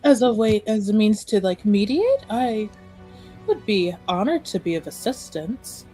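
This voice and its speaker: A woman's voice: medium pitch, expressive, a little slow, dark tone, soft background music, close to an everyday voice. A woman playing a gracious, diplomatic noblewoman in a tabletop game, earnest and courteous, with formal, careful wording. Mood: obliging. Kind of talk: tabletop roleplay dialogue.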